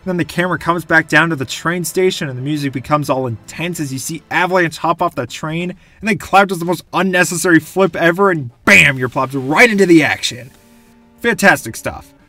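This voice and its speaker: A man's voice: a little high, fairly quick, faint music behind. A young man giving enthusiastic, gushing scripted commentary as a video game reviewer. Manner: gushing, animated.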